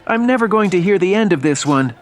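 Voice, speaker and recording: An actor plays a young male elf in a fantasy audio drama, flustered and apologetic, with a dreamy streak. The voice is mid-pitched, with music running underneath. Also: expressive, fast talker, close to an everyday voice. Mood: flustered, exasperated.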